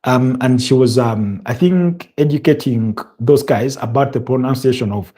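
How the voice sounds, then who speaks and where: A man with a Ugandan accent hosting a live football stream, chatting in a halting way and answering viewer comments. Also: mid-range voice, warm tone. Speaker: football show host.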